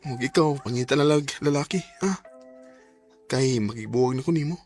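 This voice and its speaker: An actor playing a remorseful, unfaithful young boyfriend in a Bisaya radio drama, with a Cebuano Filipino accent and a stammering, dramatic delivery. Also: male voice, medium pitch, fairly quick, a little mellow, soft background music, close to an everyday voice. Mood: flustered, apologetic.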